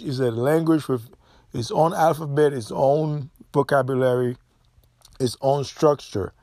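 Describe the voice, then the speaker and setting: A man's voice: medium pitch, darkish tone, dry recording, clean studio sound. Emphatic man. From Haiti. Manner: opinionated, emphatic, repeats himself. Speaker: podcast host. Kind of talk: solo podcast monologue.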